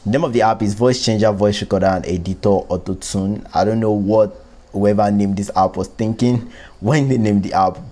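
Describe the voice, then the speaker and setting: A man with a low, mellow voice hosts a phone app tutorial. He talks informally and explains each step as he goes. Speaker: tech tutorial host. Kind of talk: casual screen-recorded app walkthrough. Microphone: close one-way microphone, voice-over.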